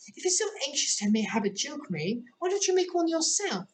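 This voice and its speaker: A woman voicing a young girl who is prim, polite and impatient, in a raised, high voice. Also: sing-song, a little fast, slight background noise. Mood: irritated.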